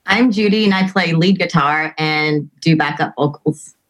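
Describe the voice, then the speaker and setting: A woman's voice: low voice, moderate pace, dull audio. An American woman from Atlanta talking casually as an interview guest. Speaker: band lead guitarist, interview guest. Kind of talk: casual chat.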